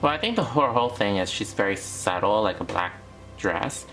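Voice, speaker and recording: A man's voice: lowish voice, animated, fairly quick, music in the background, poor audio. A man co-hosting a review channel, explaining product details in an informal, hedging, chatty way. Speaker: doll review channel co-host. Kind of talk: casual product review chat.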